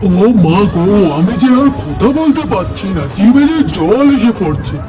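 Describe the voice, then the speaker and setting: Man acting high-pitched, gushing husband. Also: big pitch swings, normal speed. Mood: enraptured. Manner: gushing, theatrical, repetitive. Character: doting, flirtatious husband in doll soap. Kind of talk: amateur doll-drama acting. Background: music.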